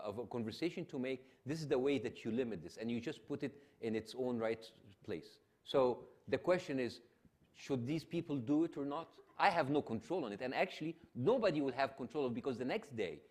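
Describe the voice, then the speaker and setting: Egyptian man hosting a stage panel. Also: mid-range voice. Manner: hesitant, many restarts, animated. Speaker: panel host. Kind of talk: on-stage public discussion.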